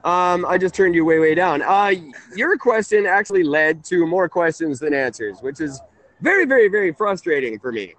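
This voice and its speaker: A man hosting a conversational call-in radio show, halting and often interrupting himself. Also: high voice, lively intonation, fairly bright tone, dry recording, rough recording. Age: 30s.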